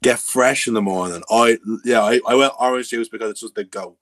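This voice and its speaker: A young Irish man co-hosting a casual podcast chat, excitable, with lots of "like" and swearing. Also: mid-range voice, fairly quick, no echo. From Ireland. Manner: excitable, swears, says "like" and "boys" a lot. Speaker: podcast co-host.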